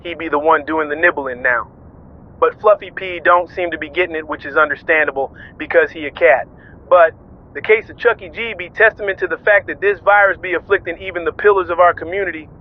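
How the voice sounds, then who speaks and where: A man's voice: on the high side, a little fast, close to an everyday voice. A man playing a swaggering, sentimental pirate radio DJ who talks in melodramatic street slang. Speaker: radio DJ host. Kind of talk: slangy improvised-sounding radio monologue. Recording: phone-like radio band, music behind. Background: music and vehicle noise.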